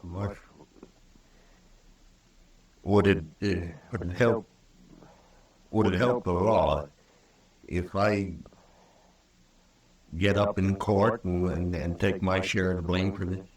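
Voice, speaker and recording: Western townsman, American, low voice, folksy and stubborn, vintage radio style, distant roomy sound. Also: steady tone, slow, long pauses. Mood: remorseful, hesitant. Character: stubborn, plain-spoken frontier townsman with an uneasy conscience. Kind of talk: old-time radio western acting.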